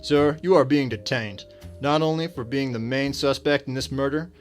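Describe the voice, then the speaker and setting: A man's voice: medium pitch, normal speed, faint music behind, natural voice. A weary detective, acted by a man in a radio drama. He talks in a dry, brusque way and sounds determined to get to the bottom of things. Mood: stern. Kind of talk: scripted radio drama acting.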